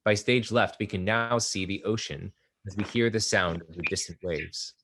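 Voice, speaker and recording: Deep-voiced man reading stage directions. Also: normal speed, a bit bright.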